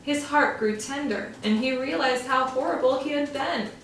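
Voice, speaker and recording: A woman teacher reading a storybook aloud to young children, with slow, expressive, drawn-out emphasis. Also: sits high, slight background noise. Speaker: first-grade teacher. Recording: roomy echo, from far off.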